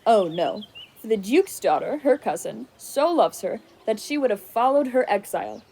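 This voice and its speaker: A woman's voice: a little high, lively intonation, normal speed, creaky, dark tone, slight background noise. A woman playing a blunt, respectful court wrestler, speaking in formal, declamatory Shakespearean style in a radio play. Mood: matter-of-fact, gossipy.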